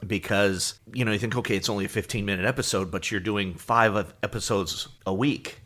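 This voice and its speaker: A man's voice: fairly low pitch, fairly even intonation, brisk, fairly bright tone. A man co-hosting a podcast, explaining things in casual, agreeable and informative conversation. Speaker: podcast co-host.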